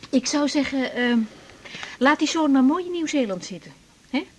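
An actress playing a young woman doctor, earnest and unsure, often speaking softly in a radio drama. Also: high-pitched, expressive, moderate pace, slight background noise. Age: young adult.

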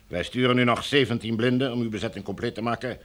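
An actor playing a formal, domineering military commander in a radio drama, smooth and coldly menacing. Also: male voice, mid-range voice, quick pace, lightly acted. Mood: firm, threatening. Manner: formal, officious, bureaucratic.

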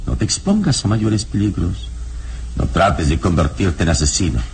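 An actor playing a serene, righteous hero of a radio serial, speaking in a measured, sententious and authoritative way. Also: male voice, low voice, animated, gravelly. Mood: firm, admonishing. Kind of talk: old radio serial acting. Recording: noisy old radio serial recording.

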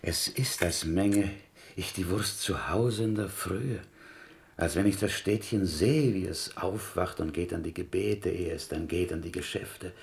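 A low, creaky-voiced man in a radio play, a humble and pious small-town traveller. He speaks haltingly and courteously, full of questions, with inverted, foreign-sounding phrasing. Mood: wistful, tender. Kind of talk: radio drama acting.

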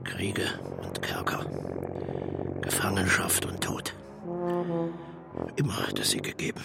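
An actor playing a cold, fatalistic man in a radio play, musing matter-of-factly in a gravelly, partly whispered voice. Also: extremely low pitch, very animated, takes it slowly, full character voice. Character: cynical, coldly fatalistic man musing on prisons and death. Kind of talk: radio play interior monologue. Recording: loud brass music behind voice.

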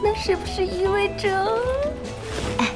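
An actress in a Chinese audio drama playing an eager, naive young woman who bosses a gaming team, expressive and girlish. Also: extremely high pitch, a little slow. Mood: curious, chatty. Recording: studio drama with music behind.

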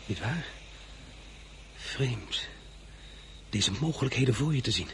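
A young, ambitious officer, sure of his great destiny. He speaks with calm, grand self-assurance, at a mid pitch, in a radio play. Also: slow, a little hiss or hum. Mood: intimate. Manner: confident, grandiose. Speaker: actor. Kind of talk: radio drama acting.

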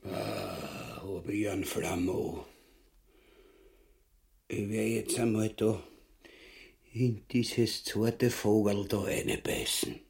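An actor playing a gluttonous, crude, clownish husband in a farcical radio play, with a Viennese Austrian accent and exaggerated, coarse delivery. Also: male voice, lowish voice, flat delivery, creaky, darkish tone, full character voice. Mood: greedy. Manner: drawn-out, exaggerated, coarse delivery with big swings.

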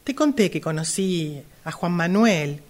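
A woman's voice: on the low side, expressive, unhurried. An Argentine woman playing a hesitant sister in love, a longtime caregiver, in an amateur radio drama. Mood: friendly, hesitant.

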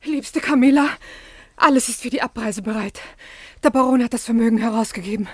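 An actress playing a fussy, imperious governess in a comic radio drama, scolding loudly in hectic, shrill bursts. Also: female voice, brisk, natural voice. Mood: flustered, indignant. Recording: jeering chorus of voices behind.

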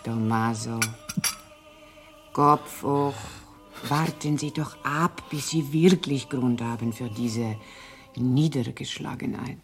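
A deep-voiced woman in a radio play. She speaks slowly and theatrically, soothing and motherly. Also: faint music behind, exaggerated voice. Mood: consoling.

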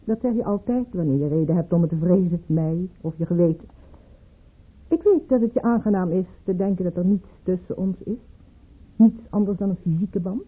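An actress playing a proud, bitter aristocratic mistress in a radio play, with cutting, theatrical delivery. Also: female voice, lowish voice, brisk, husky. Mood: bitter, accusing. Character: proud, bitter, sharp-tongued aristocratic mistress, a widowed baroness. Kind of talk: Dutch radio play acting. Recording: muffled, phone-like old radio play recording.

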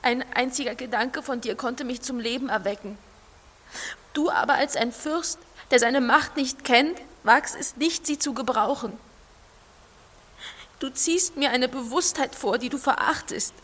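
A woman's voice: high voice, unhurried, fairly bright tone. A woman playing a proud, sorrowful female android, reproachful and lofty, in a literary audio drama. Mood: wounded, reproachful. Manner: lofty, declamatory.

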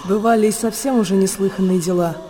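An actress playing a grave, reflective witness to calamities in a radio drama. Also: female voice, mid-range voice, fast talker, dark tone, a little hiss or hum, lightly acted. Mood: grave wonder. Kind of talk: literary radio drama narration.

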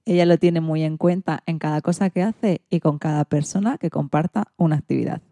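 Low, mellow-voiced Spanish woman presenter. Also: fairly quick, no room sound, studio quality. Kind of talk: scripted narration introducing recorded messages.

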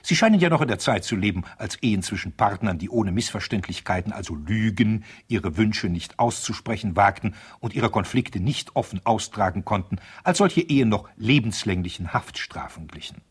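An actor playing a stern, cynical male divorce lawyer in a radio play, speaking in a formal, pointed and condescending manner with a husky, rough voice. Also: fairly low pitch, expressive, normal speed, hardly any pauses. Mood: stern reproach. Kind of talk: radio drama letter monologue.

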